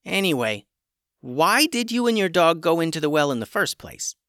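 A man's voice: on the high side, very animated, normal speed, fairly bright tone, clear, resonant tone, no echo, pitched above the performer's own voice. A man voicing an awkward talking male chicken who makes dry jokes, in a storybook reading.

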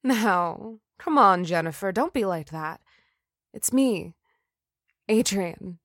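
Woman reading a deluded obsessive man, soft and imploring, slow, dry close read. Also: medium pitch, lively intonation, no echo. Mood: pleading.

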